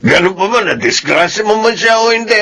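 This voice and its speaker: A man's voice: high-pitched, expressive, brisk, sharp tone, full character voice. An actor playing a stern older father who lectures his son-in-law reproachfully, in a radio drama.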